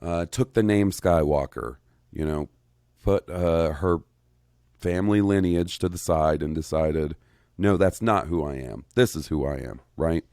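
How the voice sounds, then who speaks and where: A man in his thirties co-hosting a podcast in casual chat, rambling and profane, getting excitable. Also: low-pitched, measured pace, clear, resonant tone, dry recording, clean studio sound. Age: 30s. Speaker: podcast co-host.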